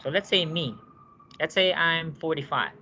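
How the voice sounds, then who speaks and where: Bright-voiced male nursing instructor lecturing. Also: on the high side, moderate pace, a little hiss or hum. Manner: walks through cases with rhetorical questions and checks understanding. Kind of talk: classroom lecture. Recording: dull lo-fi classroom recording.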